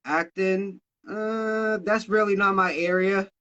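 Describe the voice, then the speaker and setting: A man's voice: high-pitched, steady tone, slow, sharp tone, dull audio. A man in his thirties from Massachusetts, a rapper talking loosely and casually in an interview, swearing freely. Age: 30s. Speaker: rapper guest.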